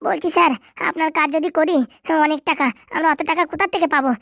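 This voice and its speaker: A woman voicing a cheeky, scheming young male cartoon sidekick who talks in eager, flattering colloquial Bengali-accented patter. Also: high-pitched, fast, dry recording. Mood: eager, deferential. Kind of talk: comedy cartoon dubbing.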